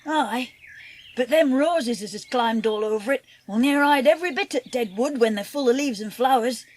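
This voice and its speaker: A woman's voice: fairly high pitch, moderate pace, a little mellow, lightly acted. A woman playing a kindly country boy with a Yorkshire accent, reassuring and plain-spoken, in an audiobook drama. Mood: gentle, reassuring. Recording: noticeable background noise.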